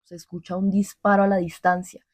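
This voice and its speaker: A woman playing a grave war correspondent in a scripted radio drama, reporting solemnly and in measured phrases. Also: fairly low pitch, flat delivery, heavy background noise, natural voice. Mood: urgent. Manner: solemn, measured, descriptive delivery.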